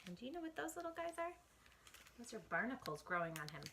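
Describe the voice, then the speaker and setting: Woman, children's storytime host, high pitch, very crisp bright tone, expressive read-aloud, dramatic emphasis. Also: fast talker, slight background noise. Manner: animated, dramatic emphasis with hushed asides to young listeners.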